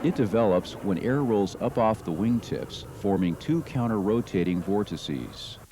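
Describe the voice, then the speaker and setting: A middle-aged American man narrating a training film in a measured, formal, instructional manner. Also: on the low side, lively intonation, noisy background. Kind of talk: scripted documentary narration. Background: music under the narration.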